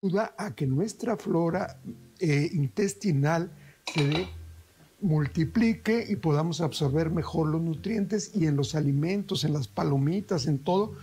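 A man's voice: on the high side, a little slow, warm tone, a little hiss or hum, poor audio. A middle-aged man, hosting a TV cooking show in a folksy way and talking to viewers as family. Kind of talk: TV cooking demonstration. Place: TV kitchen studio set.

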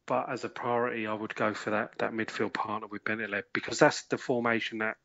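A man giving measured opinions as a panellist in a casual sports discussion. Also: on the low side, flat delivery, pushed and bright, muffled recording. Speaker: podcast panellist.

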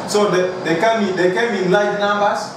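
A young man from northern Uganda with a northern Ugandan accent, recalling his life in interview answers, haltingly and often repeating phrases. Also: high-pitched, fairly even intonation. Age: young adult. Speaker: interviewee, athlete. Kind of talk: interview answers, personal recollection. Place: small bare room indoors. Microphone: camera mic a few feet away. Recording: very echoey room, distant mic, clear background noise.

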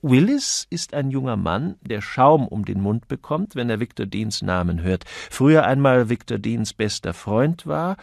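Man voicing a wry, gravelly gentleman detective. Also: mid-range voice, very animated, measured pace, few pauses, dry recording, studio quality. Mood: ironic, wry. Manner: wry, urbane, chatty. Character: witty, flippant upper-class gentleman amateur detective.

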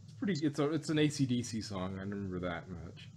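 A man casually discussing an audio drama as a review host, in a halting, rambling way. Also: on the low side, expressive, crowd noise.

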